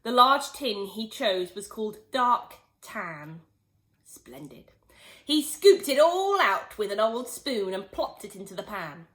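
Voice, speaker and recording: Lively woman reading a storybook. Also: mid-range voice, takes it slowly. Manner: expressive, animated reading. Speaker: teacher reading a children's book. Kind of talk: storybook read-aloud.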